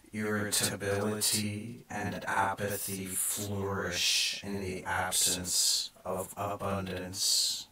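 A man narrating a scripted guided meditation in a measured, deliberate and solemn manner. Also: low voice, pushed and bright. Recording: echoing, distant from the mic.

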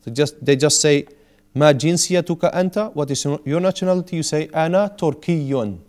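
High-voiced man teaching a class. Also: moderate pace, a little mellow. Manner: patient, repeats and explains each word. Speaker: Arabic teacher. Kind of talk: classroom language lesson. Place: small indoor classroom with whiteboard.

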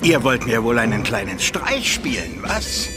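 A man's voice: mid-range voice, sing-song, a little slow, music in the background. A man playing a fussy but kindly old holy man in a children's radio play. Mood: fussy, scolding. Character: fussy, kindly old male New Year saint.

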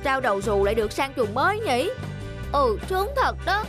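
A woman voicing envious, grumbling young sled dogs in an animated cartoon, complaining and exclaiming. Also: high voice, brisk. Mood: indignant, envious. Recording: music under the dialogue.

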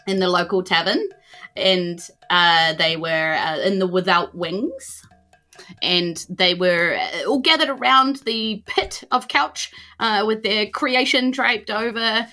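A New Zealand woman runs a tabletop fantasy game with a bright, forward voice. She improvises her narration as dungeon master, over faint music. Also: mid-range voice.

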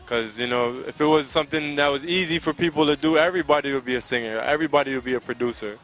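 A man's voice: medium pitch, quick pace, a bit bright. A man in his thirties with an American accent, answering interview questions in an earnest, conversational way into a handheld microphone outdoors. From Connecticut, United States. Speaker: soca singer being interviewed. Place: outdoors by a riverside. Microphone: handheld microphone held close to the mouth.

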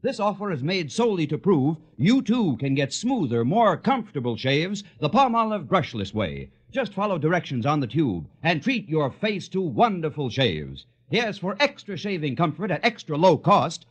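American man, 1940s radio salesman, raspy highish voice, animated delivery. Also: normal speed, highs cut off. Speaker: commercial announcer.

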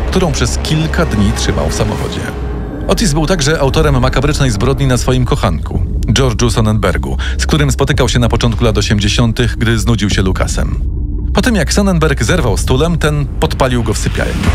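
A man narrating a scripted true-crime story in a dramatic storytelling style. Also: mid-range voice.

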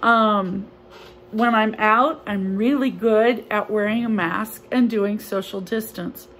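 A woman in her sixties, a pastor preaching a sermon from her living room into a close-up phone camera, speaking slowly and earnestly in a conversational way with a few fillers. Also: medium pitch, a bit bright, a little hiss or hum. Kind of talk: home-recorded sermon. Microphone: phone or webcam close up.

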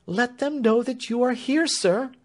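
A man voicing a distraught, loyal female servant who pleads in a high, breathless voice. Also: measured pace. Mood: pleading.

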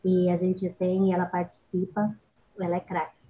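A woman's voice: fairly low pitch, monotone, moderate pace, dark tone, rough recording. A middle-aged woman, chatting on a video call, emphatic and repeating points. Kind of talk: casual chat. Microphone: laptop mic on video call.

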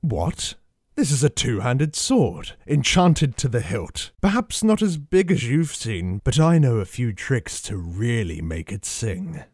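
Male actor for vain, suave swordsman in fantasy spoof. Theatrical, very animated intonation. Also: mid-range voice, a little slow, breathy edge, dry recording, clean studio sound. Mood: flustered. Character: heroic mustached human swordsman, boastful flirtatious ladies' man. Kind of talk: parody fantasy romance acting.

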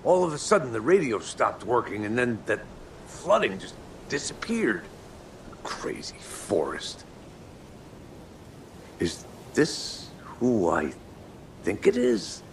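A man's voice: sing-song, takes it slowly, creaky, long pauses, heavily acted. An actor playing a laconic, matter-of-fact male federal agent in a video game. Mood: puzzled, dry. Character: low-key, laconic adult male FBI special agent. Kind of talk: video game drama acting. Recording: faint rumbling noise behind.

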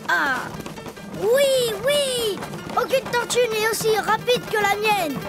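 A very high, childlike little boy donkey for a preschool cartoon. He is cheerful and full of wonder, speaking at an unhurried pace. Music plays prominently behind him. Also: lively intonation, exaggerated voice. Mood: eager, concerned.

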